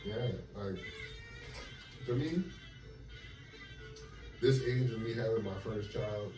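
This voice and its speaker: A man's voice: fairly low pitch, slow. A man in his late twenties, co-hosting a podcast in casual chat, laid-back and repeating phrases for emphasis. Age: 20s. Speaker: podcast co-host. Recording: echoey room, far from the mic, music behind.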